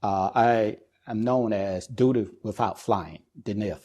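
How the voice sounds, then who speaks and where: A middle-aged man speaking as an expert interview guest, explaining things in a measured, deliberate way with pauses between phrases. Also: lowish voice, mellow. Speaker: guest expert, air traffic controller and business executive.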